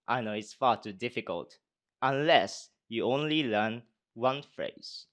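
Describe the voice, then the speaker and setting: A man's voice: medium pitch, animated, unhurried, a bit bright. Japanese man speaking British English. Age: 20s. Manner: hesitant with many fillers when answering. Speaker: university speech contestant. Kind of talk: formal speech, then unscripted Q&A answers. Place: conference hall.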